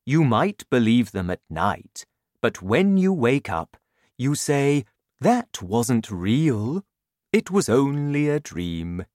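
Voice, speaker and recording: Lady doing a big sad gentle giant guy from a storybook, really slow n low, matter of fact. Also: very animated, dry recording, clean studio sound, exaggerated voice. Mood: doubtful. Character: gentle, gloomy male giant, seven meters tall.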